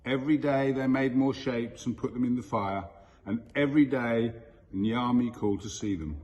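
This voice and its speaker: A man reading a children's story aloud in a deliberate, expressive way with storyteller pauses. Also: medium pitch, dull audio, a little hiss or hum. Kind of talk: scripted storybook narration.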